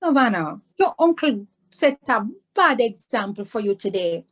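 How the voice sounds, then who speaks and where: A woman's voice: fairly high pitch, very animated, moderate pace, pitched above the performer's own voice. A woman voicing a sheepish adult uncle, evasive and pleading, in a children's storybook. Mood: contrite. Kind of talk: storybook read-aloud.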